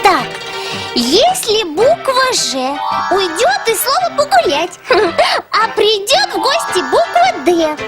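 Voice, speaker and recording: A woman's voice: very high voice, huge pitch swings, slow pace, heavily acted. A woman voicing a tidy, eager little girl character in a children's show, bubbly and sing-song. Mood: eager, delighted. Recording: music under the voice.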